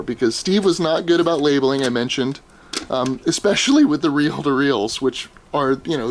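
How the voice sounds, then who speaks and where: A middle-aged American man gives a casual, unscripted show-and-tell, thinking aloud in a halting, explanatory way. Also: medium pitch. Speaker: amateur audio archivist showing his tapes. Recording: clearly noisy home video, room ambience.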